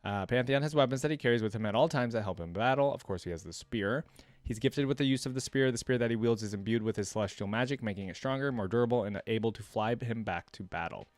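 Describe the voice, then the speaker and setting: A man's voice: mid-range voice, fast, no echo. A man reading aloud in the style of a streamer's reaction, with casual, offhand commentary. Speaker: streamer reacting to game lore.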